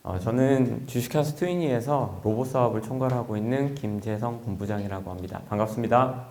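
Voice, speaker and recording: A man's voice: mid-range voice, fairly quick, darkish tone, slight background noise. A man giving a formal conference presentation as a company executive, speaking in a polite, explanatory manner.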